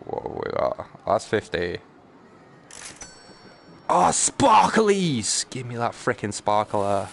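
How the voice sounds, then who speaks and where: A man giving casual, playful and sweary live commentary while streaming a video game, with an excitable manner. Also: lowish voice, takes it slowly, creaky, long pauses, slight background noise.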